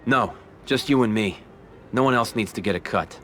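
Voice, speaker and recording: An actor playing a smooth, ambitious Italian-American mafia boss in a video game, speaking in a measured, persuasive American voice. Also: male voice, fairly low pitch, fairly bright tone, slight background noise, lightly acted. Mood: firm, persuasive. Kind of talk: video game cutscene acting.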